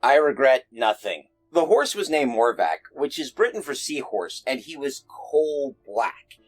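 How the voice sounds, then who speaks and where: A man's voice: mid-range voice, very animated, a little slow, soft background music. Wry man telling folklore. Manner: wry, joking asides. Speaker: folklore storyteller. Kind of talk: casual storytelling narration.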